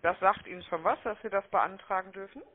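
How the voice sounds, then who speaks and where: A woman making a phone sales pitch, chatty and persuasive, often checking for agreement, heard over a telephone line. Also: brisk. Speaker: telemarketing caller. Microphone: telephone call.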